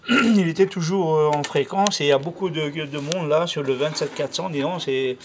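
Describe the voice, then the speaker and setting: A man's voice: a little high, normal speed, background noise. A man chatting casually as an amateur radio operator over a radio link, colloquial and repetitive, peppering his talk with tag words. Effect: radio transmission. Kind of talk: casual radio contact chat. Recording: dull lo-fi CB radio sound.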